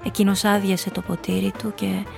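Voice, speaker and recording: A woman's voice: mid-range voice, level tone, creaky, over music. An actress playing a cool, calculating nightclub hostess, telling her story and speaking her lines in a composed, knowing radio drama style. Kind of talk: first-person noir radio play narration and dialogue.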